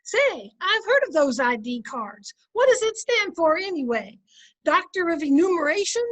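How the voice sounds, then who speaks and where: A woman playing a patient middle-aged wife with dry wit, folksy and gently teasing, in 1950s radio comedy style. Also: extremely high pitch, very animated, normal speed. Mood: wry, helpful.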